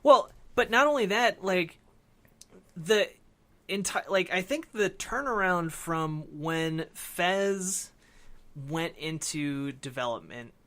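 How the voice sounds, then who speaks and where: A man's voice: high voice, lively intonation, takes it slowly, sharp tone. A man co-hosting a casual podcast discussion, feeling out his phrases with lots of "like". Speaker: podcast co-host. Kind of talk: casual discussion of a film and games.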